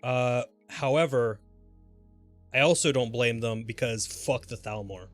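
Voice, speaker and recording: A man livestreaming a video game, chatting casually and thinking aloud in a halting, mildly sweary way. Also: mid-range voice. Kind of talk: casual gameplay commentary and rambling chat. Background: music.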